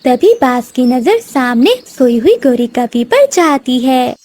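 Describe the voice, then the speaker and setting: A woman's voice: high voice, big pitch swings, takes it slowly, a little mellow. A woman narrating a scripted children's cartoon story.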